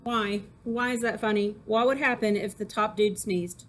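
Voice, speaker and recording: A woman's voice: medium pitch, steady tone, normal speed, fairly bright tone. A woman teacher talking casually to children about poems, in a conversational way that puts questions to listeners. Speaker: teacher reading to pupils.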